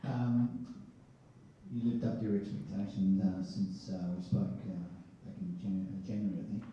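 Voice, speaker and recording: A man with a dark, low voice asks questions haltingly, stopping on fillers. He sounds distant and muffled, with heavy room echo and a faint rumble. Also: flat delivery. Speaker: event moderator. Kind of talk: hosting a Q and A.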